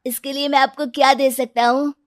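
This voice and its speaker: A high, bright-sounding poor young boy, earnest and eager, full of hope, as a woman puts him on. Dry, close recording in a storybook voice. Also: level tone, fairly quick, no echo, natural voice. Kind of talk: storybook voice acting.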